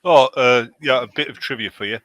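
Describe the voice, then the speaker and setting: A man with a bright, forceful voice of medium pitch. He co-hosts with casual, dry wisecracks. The sound has very little room in it. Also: fairly quick, no room sound. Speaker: co-host. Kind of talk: casual joking commentary over a radio show.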